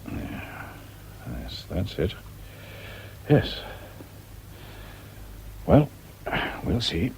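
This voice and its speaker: An actor in a radio drama playing a discreet, quietly scheming doctor, polite, understated and deliberate. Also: male voice, on the low side, big pitch swings, vocal fry, a little hiss or hum, heavily acted, low-quality recording. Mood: furtive muttering.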